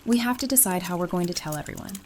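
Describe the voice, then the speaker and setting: A woman's voice: fairly low pitch, lively intonation, fast talker, slight background noise, close to an everyday voice. A woman playing an affectionate, playful newlywed in an audio drama, speaking in a casual, teasing way. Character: affectionate, playful newlywed bride, practical and bright-minded.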